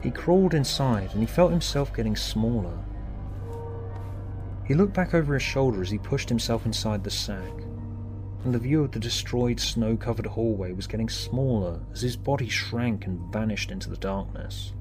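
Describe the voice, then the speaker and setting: A man reading scripted story narration in a measured, deliberate way. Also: mid-range voice, music in the background. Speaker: author and narrator.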